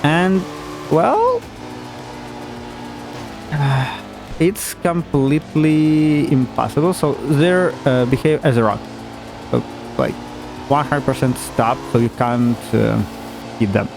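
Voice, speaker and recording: Slow, hesitant man over game music. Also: medium pitch, big pitch swings. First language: not German. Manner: hesitant, many fillers and restarts, chuckles often. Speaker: gaming YouTuber. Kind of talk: casual gameplay commentary. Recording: game music playing underneath.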